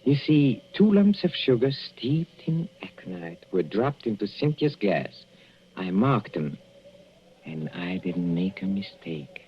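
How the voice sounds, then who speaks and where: An actor playing a gloating, murderous older professor in 1940s radio suspense style, mock-courteous and savoring every hesitation. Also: male voice, low voice, big pitch swings, takes it slowly, a little hiss or hum, exaggerated voice. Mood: smugly menacing. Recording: muffled, dull old radio recording.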